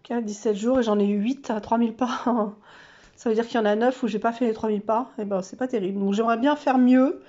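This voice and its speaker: A woman's voice: fairly high pitch, fairly even intonation, brisk, mellow, highs cut off. A woman vlogger with a stuffy, nasal voice from a cold, chatting casually and self-mockingly through her monthly challenges with lots of fillers.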